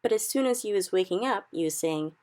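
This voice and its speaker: A woman voicing a big, friendly male giant in a storybook reading, who speaks slowly and mangles his grammar. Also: medium pitch, lively intonation, darkish tone, pitched above the performer's own voice, natural voice. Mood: stubborn. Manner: slow, odd jumbled grammar.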